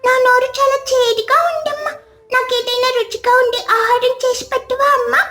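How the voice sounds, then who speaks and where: A woman's voice: very high-pitched, level tone, fairly quick, sharp tone, echoey room, pitched above the performer's own voice. A woman voicing a whiny, eager little child bird in a cartoon, pleading in a thin childlike voice. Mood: pleading, hungry. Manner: pleading, addressing mother.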